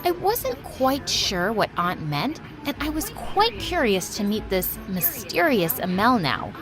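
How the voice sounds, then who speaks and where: High, lively teenage girl storyteller played by a woman. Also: unhurried, rough recording. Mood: uneasy storytelling. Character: kind, clumsy, nervous teenage girl telling her story. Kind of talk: animated story narration. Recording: music under the voice.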